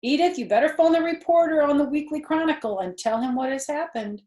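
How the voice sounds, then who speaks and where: A woman voicing a proud, excitable farm owner who gives emphatic orders in a storybook. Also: sits high, brisk, roomy sound, voice pushed up, natural voice. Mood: joyful admiration.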